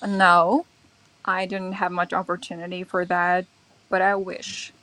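A woman's voice: lowish voice, little pitch movement, unhurried, fairly bright tone, slight background noise. A woman from China chatting casually in English on a livestream, easygoing and teasing. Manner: laughs often, easygoing and teasing. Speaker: guest. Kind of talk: casual chat.

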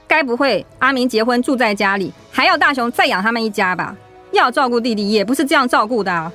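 A woman playing a resentful, sharp-tongued daughter-in-law in a radio drama, complaining and argumentative. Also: a little high, animated, fast, soft background music. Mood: indignant.

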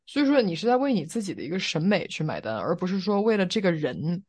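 A woman's voice: on the low side, very animated, quick pace, darkish tone, slightly rough. A woman co-hosting a podcast, giving her opinions in casual chat and explaining them reflectively, point by point. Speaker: podcast co-host.

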